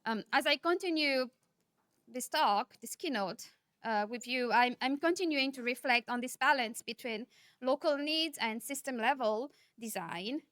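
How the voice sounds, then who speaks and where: High-pitched woman giving a keynote. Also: unhurried, fairly bright tone, dry recording, clean studio sound. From Nepal. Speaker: keynote speaker. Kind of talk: conference keynote speech.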